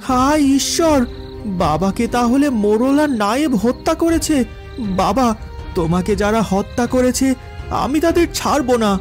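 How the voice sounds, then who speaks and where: A man's voice: very high-pitched, expressive, moderate pace. A man voicing an earnest poor village boy who hawks goods in a Bengali cartoon story. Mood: grieving, vengeful. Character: Raju, earnest poor village boy selling rice cakes. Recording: music behind the voice.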